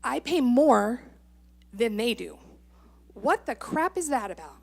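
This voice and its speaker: A middle-aged American woman gives public comment in a fairly high voice edged with vocal fry. She tells her story informally, with exasperated sarcasm, and acts out both sides of conversations. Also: big pitch swings, slow pace. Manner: exasperated, sarcastic, acting out exchanges. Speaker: resident giving public comment. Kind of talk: informal personal testimony. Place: indoor public meeting.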